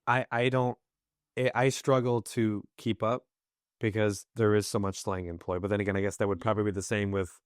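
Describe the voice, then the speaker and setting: A man's voice: lowish voice, level tone, a little fast, clean tone, dry recording, clean studio sound. A man hosting a podcast, asking long, rambling questions in a curious, joking way. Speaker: podcast host. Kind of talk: casual podcast conversation.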